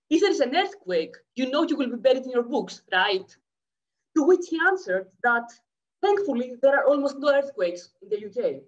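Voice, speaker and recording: A woman in her thirties with a Greek accent, from Greece, reading a conference paper quickly over a video call. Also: sits high. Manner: fast-talking, checks in with the audience. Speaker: academic speaker. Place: home room, indoors. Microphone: laptop webcam mic, video call. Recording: muffled lo-fi video call audio.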